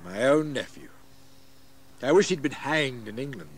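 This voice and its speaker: An actor playing a disapproving colonial gentleman in an audio history drama. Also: mid-range voice, lively intonation, normal speed, close to an everyday voice. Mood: bitter.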